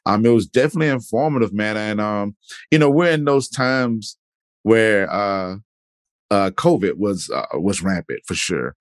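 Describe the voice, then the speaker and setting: A man's voice: lowish voice, moderate pace, no room sound, studio quality. A man hosting a podcast interview, talking in a laid-back, casual way and dropping plenty of "man" and "you know". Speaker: podcast host.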